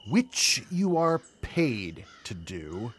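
A man performs a villainous professor in an audio play. He is menacing and exasperated, drawing out his words slowly and condescendingly with a widely swinging pitch. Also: very animated. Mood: impatient. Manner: condescending, menacing, drawn-out questioning.

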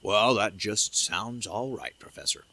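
A man's voice: on the low side, animated, measured pace, sharp tone, no room sound, heavily acted. An actor playing an agreeable, trusting male time traveller in an audio drama. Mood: curious, trusting.

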